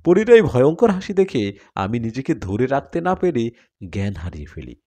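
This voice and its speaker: A man's voice: mid-range voice, very animated, normal speed, mellow. A man narrating a dramatic first-person horror story.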